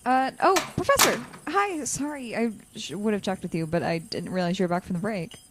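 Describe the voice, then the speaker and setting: An actress playing an awkward, studious young chemistry student in an audio drama, hesitant and prone to stammering. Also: female voice, mid-range voice, expressive, brisk, background noise, close to an everyday voice. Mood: flustered.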